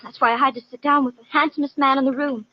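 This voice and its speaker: A woman's voice: high voice, fairly even intonation, fast talker, natural voice. An actress playing a naive, melodramatic, lovesick young woman, earnest and scatterbrained, in 1950s radio comedy-drama style. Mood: earnest, coy. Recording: muffled 1950 radio broadcast recording.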